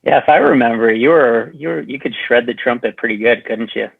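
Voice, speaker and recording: A man's voice: medium pitch, brisk, gravelly, husky, dry recording. American man on a phone-like line. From southern New Hampshire, USA. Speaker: podcast guest, punk band musician. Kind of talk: casual chat. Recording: thin phone-like line, dry.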